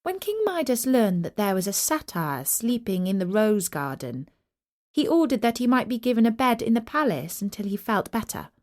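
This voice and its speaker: A woman narrating a scripted story for children in an expressive, measured and deliberate storyteller's manner. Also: on the high side.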